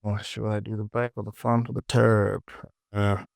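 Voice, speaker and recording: Male tutorial instructor, low and unhurried, informal short phrases, close dry recording. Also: level tone, vocal fry, no room sound. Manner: informal, muttered short instructions to self and viewer. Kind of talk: casual screen-recorded software tutorial, talking while working.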